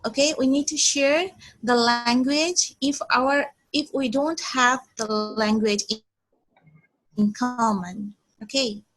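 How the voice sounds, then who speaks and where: A woman in her twenties from Bangladesh leads an English lesson in a high, light voice. She speaks slowly and carefully, restating points and checking in with "okay". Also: fairly even intonation, fairly bright tone, rough recording. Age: 20s. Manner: slow and deliberate, repeats herself, frequent "okay". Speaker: English teacher.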